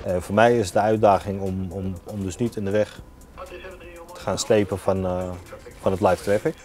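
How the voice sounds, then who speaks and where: A man's voice: low-pitched, little pitch movement, normal speed, dark tone, faint music behind. A man in his thirties, an airport apron controller describing his job informally and plainly. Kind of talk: documentary interview about work. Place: glass-walled airport control tower office.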